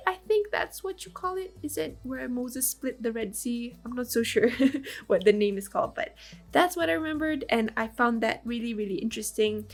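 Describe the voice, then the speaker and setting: Hoarse woman with the flu, raspy and gravelly, chatty game voice-over, faint music behind. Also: mid-range voice. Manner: rambling, lots of fillers and small laughs. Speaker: game builder narrating a build. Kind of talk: casual voice-over commentary.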